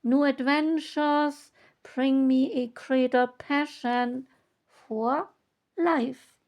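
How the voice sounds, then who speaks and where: A woman reading oracle card messages aloud from a guidebook, in a measured manner with long pauses between phrases. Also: high voice, steady tone, a little mellow.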